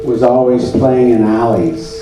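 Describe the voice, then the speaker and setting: A man narrating a comedy puppet show on stage with mock solemnity, deadpan, pompous and halting, in a very echoey, distant recording. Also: medium pitch. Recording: very echoey, distant mic, music behind.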